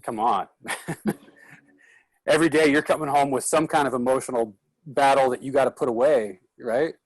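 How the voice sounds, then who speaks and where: A man's voice: medium pitch, fairly even intonation, normal speed, dry recording, rough recording. A man moderating a casual discussion in an encouraging, conversational way. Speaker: actor moderating a Q&A.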